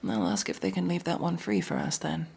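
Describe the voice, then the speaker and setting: A woman playing a masked tsundere elf mercenary in an intimate ASMR roleplay, speaking in a hushed, halting, breathy and husky voice. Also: deep voice, very animated, full character voice. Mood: soft, relieved.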